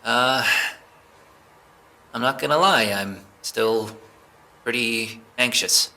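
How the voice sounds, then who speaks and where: A man's voice: medium pitch, takes it slowly, vocal fry, sharp tone, heavily acted. A man playing a shaken, anxious civilian under police questioning in an amateur audio drama, speaking in hesitant, stammering answers.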